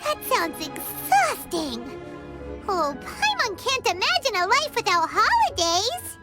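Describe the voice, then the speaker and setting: An actress voicing a tiny, childlike, excitable fairy companion in a video game with a squeaky voice. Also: female voice, takes it slowly, loud music behind the voice, exaggerated voice. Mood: dismayed. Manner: childlike, exclamatory, speaks of herself by name.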